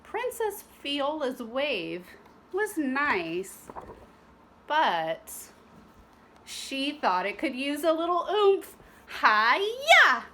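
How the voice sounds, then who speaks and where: A woman's voice: high voice, big pitch swings, drawn-out words, a little hiss or hum. A woman narrating a children's storybook expressively, with teacherly asides to young listeners. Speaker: storybook reader.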